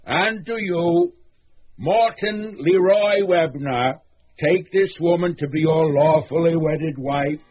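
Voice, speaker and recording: Solemn, higher-voiced wedding reverend. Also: male voice. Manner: formal, measured, solemn. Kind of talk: radio drama acting, ceremonial. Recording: muffled old radio recording, music behind.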